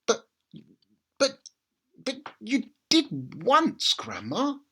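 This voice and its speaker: A man's voice: extremely high pitch, wildly swooping pitch, drawn-out words, bright, forceful tone, breathy edge, voice pushed up, exaggerated voice. A man voicing a polite, timid eight-year-old boy in a children's storybook, earnest and pleading. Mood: meek protest.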